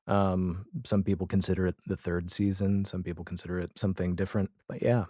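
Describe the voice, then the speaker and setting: A man with a fairly low voice talks casually as a guest on a podcast. He feels his way through sentences in a hesitant, think-aloud manner. The sound is dry, with very little room around it. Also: little pitch movement, fairly quick, dark tone, no room sound. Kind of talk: casual chat.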